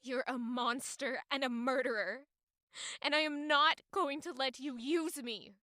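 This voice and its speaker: A woman's voice: sits high, measured pace, sharp tone, no echo, clean studio sound. An actress playing a weary, bitter and defiant young woman in an audio drama, carrying guilt as she narrates and speaks. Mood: angry, defiant. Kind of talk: audio drama acting with first-person narration.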